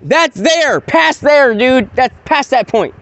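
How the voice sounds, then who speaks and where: A man's voice: very high voice, animated, slow pace, fairly bright tone. Insistent man arguing on a night street. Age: 40s. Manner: insistent, repetitive, rambling and confrontational. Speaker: citizen filming police. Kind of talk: heated street argument.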